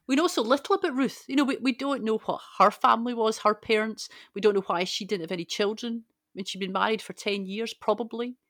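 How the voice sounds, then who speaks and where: A woman's voice: fairly high pitch, fast talker, hardly any pauses, a bit bright, no room sound, clean studio sound. A woman on a podcast panel, discussing conversationally and reflecting as she goes.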